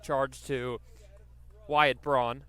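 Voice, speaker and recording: A man's voice: mid-range voice, flat delivery, extremely slow, a bit bright, slight background noise. A man doing live radio baseball play-by-play, describing the action and working in stats as he goes. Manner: descriptive, stat-laden delivery.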